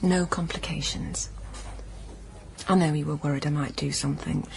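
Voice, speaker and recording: Radio play: hushed, wounded woman, lowish voice, emotional natural delivery, faint ambience behind. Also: fairly even intonation, normal speed, vocal fry, slight background noise. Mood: subdued, reconciling. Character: wounded, emotional woman haunted by her ancestor's suffering. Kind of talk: naturalistic radio drama dialogue.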